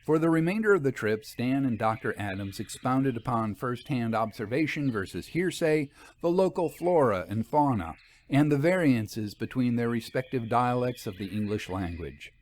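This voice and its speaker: A man's voice: medium pitch, lively intonation, moderate pace, a little mellow, slight background noise. A man reading a mystery story aloud as scripted narration.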